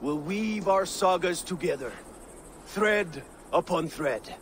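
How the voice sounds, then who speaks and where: An actor playing a steady veteran Viking leader in a video game, measured and resolute. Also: male voice, sits high, a little hiss or hum, exaggerated voice.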